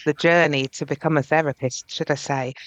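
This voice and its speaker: A woman's voice: sits low, steady tone, fairly quick, no echo. A woman therapist speaking earnestly and reflectively as a podcast guest. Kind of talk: podcast interview.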